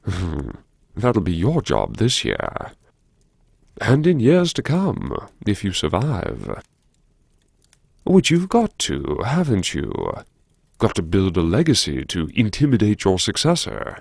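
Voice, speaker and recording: A man narrating an audiobook in the first person, dramatically, with a brooding, wry and deliberate delivery. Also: on the low side, huge pitch swings, creaky, breathy edge, no room sound, studio quality.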